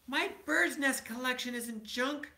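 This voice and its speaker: A man's voice: very high voice, unhurried, gravelly, pushed and bright, echoey room, pitched above the performer's own voice, heavily acted. A man voicing an earnest young boy bear cub from a storybook. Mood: indignant.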